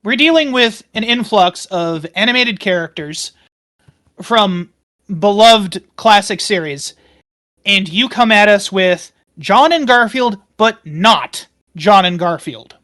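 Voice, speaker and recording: A man's voice: high voice, expressive, slow pace, sharp tone. A man playing a tabletop game, speaking casually in character with wordy, wry phrasing.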